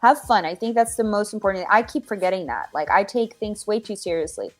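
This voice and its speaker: Halting Finnish-accented woman singer. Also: mid-range voice, animated, soft background music. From Finland. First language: Finnish or Swedish. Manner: halting, searching for words, many restarts. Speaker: singer-songwriter guest. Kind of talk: casual interview answers. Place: home with her dogs.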